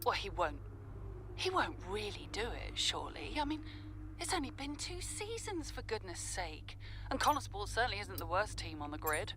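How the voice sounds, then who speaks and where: A woman playing a caring, dryly candid middle-aged mother, gently nudging, in a game cutscene. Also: mid-range voice, big pitch swings, moderate pace, a little hiss or hum. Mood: concerned. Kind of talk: naturalistic video game cutscene acting.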